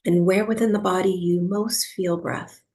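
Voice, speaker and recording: A woman teaching breathwork and guiding a meditation for sleep, speaking softly in a soothing, unhurried way with long pauses. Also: lowish voice, steady tone.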